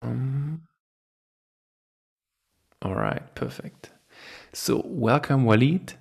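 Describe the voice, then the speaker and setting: A man's voice: mid-range voice, expressive, drawn-out words, creaky, slightly rough, faint music behind. A man hosting a podcast interview in a conversational style over an online call. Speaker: podcast host.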